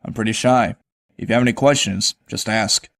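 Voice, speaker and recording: An actor playing a sardonic, world-weary young vigilante, narrating and talking in a wry, conversational way in a motion comic. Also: male voice, fairly low pitch, normal speed, gravelly, fairly bright tone, dry recording. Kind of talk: first-person narration and dialogue, motion comic.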